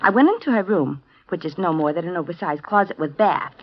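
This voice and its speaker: A quick, gossipy busybody. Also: female voice, lowish voice, animated. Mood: confiding. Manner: chatty, confiding, gossipy. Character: nosy, talkative woman staying at the house. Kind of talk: 1950s radio drama acting. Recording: lo-fi old radio broadcast.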